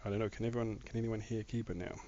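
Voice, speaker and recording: A man's voice: lowish voice, fairly even intonation, racing. A man with a somewhat mixed Australian accent, chatting casually with viewers while he plays a game on a live stream. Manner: rambling, chats with viewers while building. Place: home room. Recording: dull lo-fi mic with faint noise.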